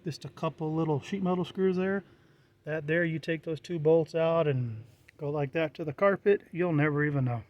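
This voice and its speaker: A man's voice: a little high, moderate pace, dry recording, muffled recording. A middle-aged American man giving a casual, unscripted walkthrough in a plain-spoken, matter-of-fact way. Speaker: hobbyist presenter.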